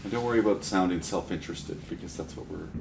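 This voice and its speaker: A man's voice: low-pitched, fast, vocal fry. A man facilitating a meeting, presenting informally to a group. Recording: roomy live recording, faint noise.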